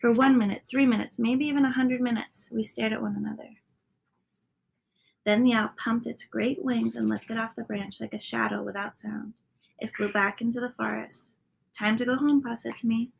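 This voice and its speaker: A woman reading a children's story aloud as a narrator, sometimes dropping into a hushed tone at the ends of lines. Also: on the high side, flat delivery, normal speed, gravelly, slightly rough, rough recording. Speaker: storybook reader.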